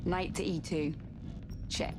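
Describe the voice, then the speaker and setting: An actress playing a wry, questioning spaceship crew member in a sci-fi game, casual in manner. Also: female voice, on the low side, steady tone, takes it slowly, noisy background. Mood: wry, uneasy. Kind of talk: video game voice acting, sci-fi horror.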